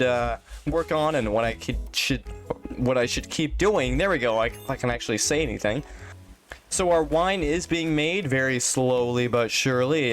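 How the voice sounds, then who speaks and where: A congested man giving casual, rambling commentary as he plays a game, thinking aloud and often unsure. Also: medium pitch. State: congested from allergies. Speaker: gaming channel host.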